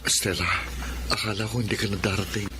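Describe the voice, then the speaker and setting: A man's voice: lowish voice, level tone, fast talker, natural voice. An actor with a Filipino accent playing a lovestruck, vulnerable man in a radio drama. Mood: hurt, pleading. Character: Tobal, lovestruck, pleading man rejected by his sweetheart. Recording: dramatic music under voice.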